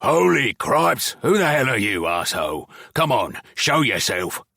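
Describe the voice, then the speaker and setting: A man voicing a scrappy male goblin convict, hoarse, crude and mocking. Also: mid-range voice, sing-song, measured pace, pushed and bright, no echo, clean studio sound, pitched above the performer's own voice, heavily acted. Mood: angry.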